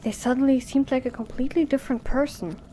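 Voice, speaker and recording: A woman's voice: sits high, fairly even intonation, fast talker, dark tone, a little hiss or hum. A woman narrator reading a scripted story for an audio drama.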